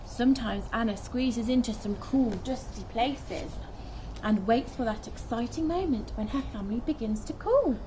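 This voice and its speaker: Animated young woman reading, noisy outdoors. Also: fairly high pitch, moderate pace. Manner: animated, acts out dialogue. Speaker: primary school teacher reading aloud. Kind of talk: expressive children's story reading. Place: outdoors in a garden by a wooden fence. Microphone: camera a short distance away. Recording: noisy outdoor recording with clicks.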